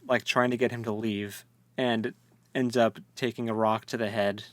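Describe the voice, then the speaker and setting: A man's voice: lowish voice, flat delivery, normal speed, fairly bright tone, dry recording. A man co-hosting a podcast, retelling a story in casual, slangy, jokey conversation. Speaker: podcast co-host. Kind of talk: casual book discussion chat.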